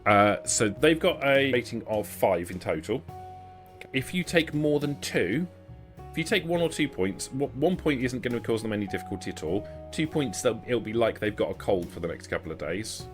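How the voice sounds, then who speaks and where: A man's voice: medium pitch, expressive, normal speed, faint music behind. A man as game master, narrating and explaining a tabletop roleplaying game in an explanatory, improvised way.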